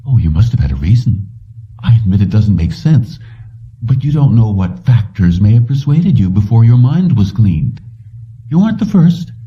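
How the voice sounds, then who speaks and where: A man voicing a brisk, patient and matter-of-fact young woman paymaster in an audiobook story. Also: lowish voice, sing-song, distant mic, lo-fi sound. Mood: insistent, reassuring.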